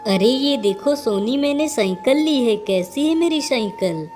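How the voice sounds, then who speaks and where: A woman's voice: high-pitched, animated, measured pace, a little mellow, faint music behind. A woman voicing a boastful, scornful village girl in a cartoon story, with a haughty manner.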